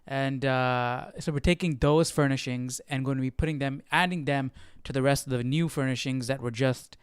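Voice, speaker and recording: A man's voice: medium pitch, steady tone, normal speed, smooth, no room sound, clean studio sound. A man leading a casual unscripted Bible study as host, thinking aloud hesitantly and often checking with a "right?". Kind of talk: casual unscripted teaching commentary.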